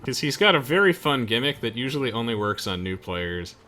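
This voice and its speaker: Animated, bright-voiced young man commentating gameplay. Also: mid-range voice, fairly quick. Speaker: gaming video host. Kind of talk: casual let's play commentary. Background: faint game music.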